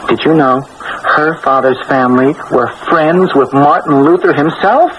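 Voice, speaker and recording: A man's voice: fairly high pitch, moderate pace, muffled recording, lightly acted. Petulant, whiny teenage prince played by a man. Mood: sulky, petulant. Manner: whiny, taunting, exaggerated. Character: petulant, childish teenage royal heir, jealous and spoiled. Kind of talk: 1980s radio drama acting. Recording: very noisy old radio broadcast recording.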